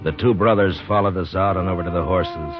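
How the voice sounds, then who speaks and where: Deep-voiced, measured frontier marshal played by an actor, vintage radio. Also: male voice, steady tone, natural voice. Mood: grave, reflective. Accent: American. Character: calm, duty-bound frontier marshal, weary and thoughtful. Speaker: lead actor and narrator of the story. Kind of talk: 1950s radio western acting and narration. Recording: dull vintage 1950s radio recording, music.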